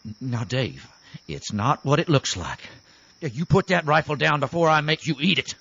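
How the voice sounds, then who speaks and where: An actor playing a sleazy, cowardly backwoods seducer with a Southern US accent in a campy radio parody. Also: male voice, a little high, expressive, moderate pace, vocal fry, fairly bright tone, heavily acted. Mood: panicked. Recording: dull lo-fi, music underneath.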